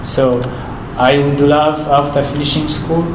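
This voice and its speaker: A young man with a Rwandan accent, a native Kinyarwanda speaker, talks earnestly in an interview. He speaks haltingly and repeats words as he searches for them. The recording has a roomy echo and steady background noise. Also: a little high. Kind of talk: heartfelt interview answers.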